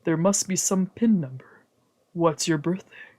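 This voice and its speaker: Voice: medium pitch, unhurried, mellow. A streamer reading a visual novel's narration aloud in first person, translating the lines, with whispered asides.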